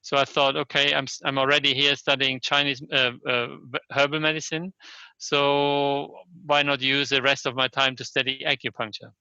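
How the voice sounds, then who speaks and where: Older man, German accent, sharp bright tone, halting explanatory interview speech, frequent questioning tags, dull lo-fi recording. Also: mid-range voice, level tone, clean tone, no echo. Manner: halting, restarts sentences, often adds a questioning "yes?". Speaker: interview guest, Chinese medicine practitioner and scholar. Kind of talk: reflective conversational interview answers.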